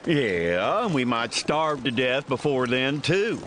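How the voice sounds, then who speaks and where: An actor playing a wry, feisty old cowboy drifter with a folksy Texan drawl in a dramatized Western audiobook. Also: male voice, medium pitch, big pitch swings, slow pace, fairly bright tone, slight background noise. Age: older.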